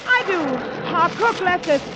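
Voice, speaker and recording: An actress playing a bewildered, worried wife in a 1940s radio drama. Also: female voice, very high voice, animated, unhurried. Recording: noisy old radio, storm effects.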